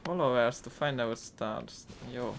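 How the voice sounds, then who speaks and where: A man's voice: medium pitch, a little slow, noisy background. A man giving casual, slangy playthrough commentary as a gaming YouTuber, in an offhand way.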